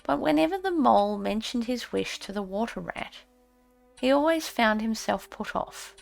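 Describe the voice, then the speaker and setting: A woman reading a classic storybook as an audiobook narrator, in a measured, expressive way. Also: fairly high pitch, gravelly, soft background music.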